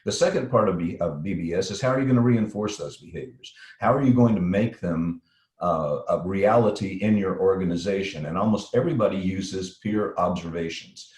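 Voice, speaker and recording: A middle-aged man with a slight Texan accent, answering interview questions in a practiced broadcast-style voice, pausing often with fillers. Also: low voice, darkish tone. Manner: thoughtful, with frequent fillers and restarts. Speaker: guest safety consultant. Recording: echoey room.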